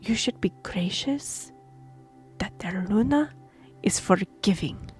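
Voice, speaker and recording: Woman acting a noble half-elf lady envoy, measured and formal, slow talker, faint music behind, fantasy audio rp. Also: medium pitch, animated, darkish tone. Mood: stern. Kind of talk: scripted fantasy audio roleplay.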